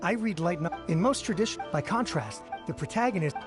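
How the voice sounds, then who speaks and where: A man's voice: high voice, expressive, fairly quick. A man playing an earnest male light novel reader in a video game. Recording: background music under the voice.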